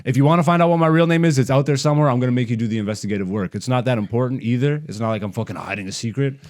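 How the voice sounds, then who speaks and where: A man's voice: medium pitch, rapid-fire, darkish tone. A man from Canada, a stand-up comedian hosting a podcast, talks in a casual, blunt, slangy rant full of swearing. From raised in Canada.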